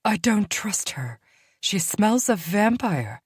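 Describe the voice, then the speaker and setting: A hostile female elder wolf, as a woman narrator puts her on, hissing and disdainful. She speaks slowly and higher than the narrator, with a dry, close storybook sound. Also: animated, husky, no echo, full character voice. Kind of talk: audiobook character voice.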